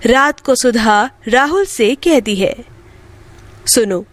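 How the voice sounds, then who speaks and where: A woman's voice: high-pitched, very animated, takes it slowly, no echo. A woman storyteller reading a scripted bedtime tale as narrator.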